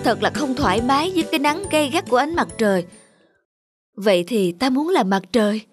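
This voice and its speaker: A woman's voice: on the high side, animated, a little fast, natural voice. A woman voicing a discontented male stonecutter in a cartoon fairy tale, exclamatory and plaintive. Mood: complaining. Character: weary, discontented male stonecutter granted wishes, easily delighted and dismayed. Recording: music behind the voice.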